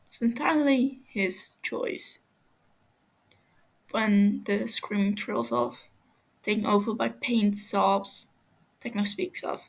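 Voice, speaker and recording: A woman's voice: mid-range voice, fairly even intonation, takes it slowly, gravelly, long pauses, low-quality recording. A woman reading fan fiction aloud as scripted story narration.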